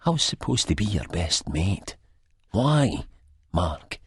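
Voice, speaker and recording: A man's voice: low voice, sing-song, slow, husky, no room sound, clean studio sound, full character voice. A man voicing an angry, self-dramatising swindled friend in an audiobook, theatrical and snarling. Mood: wounded whining.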